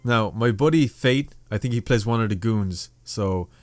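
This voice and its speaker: A man's voice: fairly low pitch, normal speed, soft background music. A man hosting a reaction video, chatting casually and informally to his viewers, whom he treats as friends. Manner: informal, addresses viewers as dudes.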